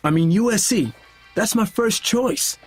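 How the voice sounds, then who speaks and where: A man acting a protective, touchy high school athlete in an audio drama, speaking in a casual, clipped way. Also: animated, a little slow, slightly rough, faint music behind, full character voice. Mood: guarded, hopeful.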